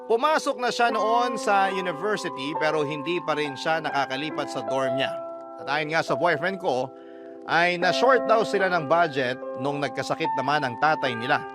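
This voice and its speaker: A man's voice: on the high side, lively intonation, normal speed. A man with a Filipino accent narrating a scripted first-person story as a radio storyteller. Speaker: radio storyteller reading a listener's letter. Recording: loud music under the narration.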